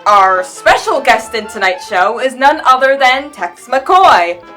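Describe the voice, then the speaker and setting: A woman's voice: high voice, lively intonation, takes it slowly, bright, forceful tone, soft background music, exaggerated voice. A woman playing a theatrical, formal announcer of an old-time radio mystery show.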